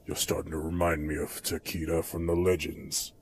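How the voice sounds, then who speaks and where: A man's voice: extremely low pitch, flat delivery, normal speed, vocal fry, a little hiss or hum. A man playing a cold, proud and merciless ninja assassin in an audio drama, stern and menacing. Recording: echoey room, music behind.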